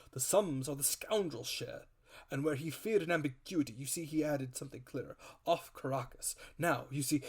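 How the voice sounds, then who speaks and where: Man putting on a loud, high-pitched blustering squire. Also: breathy edge. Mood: indignant, emphatic. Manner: loud, emphatic, exclamatory. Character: blustering, excitable gentleman squire, hot-headed and grandiose. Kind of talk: dramatic audiobook character voice.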